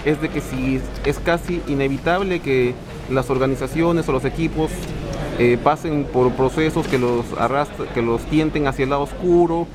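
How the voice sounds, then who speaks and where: A man's voice: mid-range voice, moderate pace, over music. A man hosting an interview, asking long questions in a hesitant way with many fillers. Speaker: interviewer, host. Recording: crowd chatter behind.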